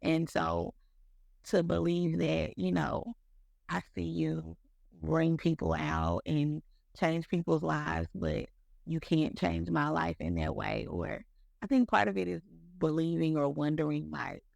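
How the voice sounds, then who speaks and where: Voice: mid-range voice, big pitch swings, slow, vocal fry, darkish tone, no room sound, studio quality. A speaker in their mid-thirties talking about faith on a podcast, in a rambling way, leaning on "like" and "you know" and repeating words. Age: 30s. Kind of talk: casual faith talk.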